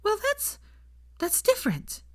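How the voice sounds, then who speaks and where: A woman voicing an indignant, stubborn young woman in an audiobook, emphatic and halting when flustered. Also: extremely high pitch, huge pitch swings, voice pushed up, full character voice. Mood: flustered, defensive. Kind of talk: audiobook character dialogue.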